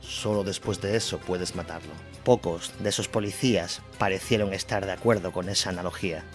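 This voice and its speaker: A man's voice: deep voice, steady tone, a little fast. A man narrating a scripted war story in a dramatic documentary style. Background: music.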